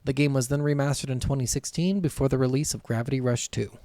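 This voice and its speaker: A man's voice: medium pitch, steady tone, fast, warm tone, dry recording. A man co-hosting a casual gaming podcast, talking bluntly and swearing freely, sometimes reading from notes. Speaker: podcast co-host.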